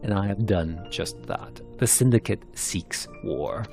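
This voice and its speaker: A man voicing a shrewd, composed gentleman in a fantasy game story. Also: lowish voice, lively intonation, a little slow, creaky, over music. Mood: measured, knowing. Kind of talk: narrated game cutscene character.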